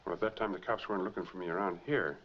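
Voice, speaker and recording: A man's voice: low-pitched, rapid-fire, close to an everyday voice. An American actor in middle age playing a hunted, determined man in 1940s film noir, speaking in clipped, hard-boiled phrases. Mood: guarded, reasoning. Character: hunted, determined man trying to clear his name. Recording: muffled, noisy 1940s film soundtrack.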